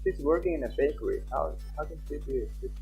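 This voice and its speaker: A man's voice: a little high, expressive, fast, warm tone. A young man live-streaming a game, chatting casually and reading on-screen text aloud. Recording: dull lo-fi mic with background music. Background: game music.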